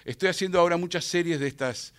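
A man's voice: a little high, fast. A middle-aged French-born man whose first language is French, speaking Rioplatense Spanish as an actor guest on a radio show, reflective and rambling with many fillers. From France, raised in Argentina. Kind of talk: casual radio interview.

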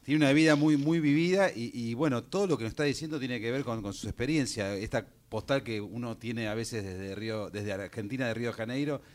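Chatty Buenos Aires radio host. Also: male voice, mid-range voice. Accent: Rioplatense Spanish. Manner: chatty, prompting with quick questions. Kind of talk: casual radio interview.

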